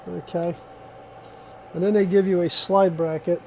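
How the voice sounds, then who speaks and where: A man's voice: high-pitched, level tone, a little slow, vocal fry, a little mellow, a little hiss or hum, poor audio. A man giving a casual hands-on product demonstration, explaining step by step in a plainspoken way. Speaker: product reviewer.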